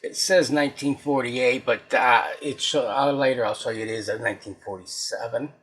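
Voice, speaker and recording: A man casually talking through a hands-on restoration as he works, folksy and halting, often muttering to himself in a husky voice. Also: mid-range voice, fairly even intonation, fairly bright tone. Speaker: host of a radio repair video, hobbyist restorer. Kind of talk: casual unscripted workbench narration while handling the work.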